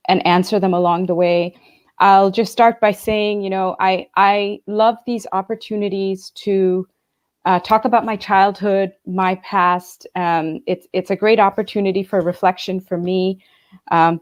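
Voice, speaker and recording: A woman's voice: medium pitch, darkish tone. A woman raised between Bangladesh and the US, speaking earnestly on a video call as a guest and recounting her childhood with frequent fillers and restarts. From Bangladesh, raised partly in the US. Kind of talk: reflective personal storytelling.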